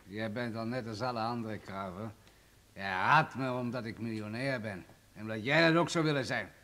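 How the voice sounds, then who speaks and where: An actor playing a suspicious millionaire villain in a radio drama, brusque and contemptuous in manner. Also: male voice, lowish voice, moderate pace, pushed and bright.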